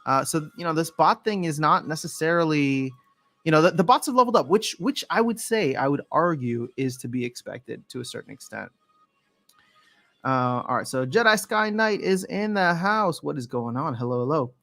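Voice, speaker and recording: A man's voice: a little high, animated, normal speed, fairly bright tone, no room sound. A man chatting casually on a livestream, reading viewer comments and answering them, often restarting and correcting his phrases. His voice sounds a little worn from a lot of talking. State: voice slightly worn from use.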